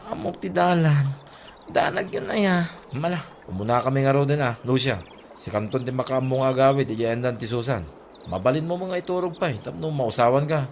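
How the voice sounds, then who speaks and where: A man's voice: fairly high pitch, darkish tone, lightly acted. A man with an Ilocano accent acting a teasing, scolding village buddy in a comedy audio drama. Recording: clear background noise, ambience.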